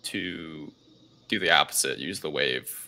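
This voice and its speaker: A man's voice: rumbling low, unhurried, bright, forceful tone. A man hosting a podcast, recapping a film in a casual, hesitant, loosely rambling way. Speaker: podcast host.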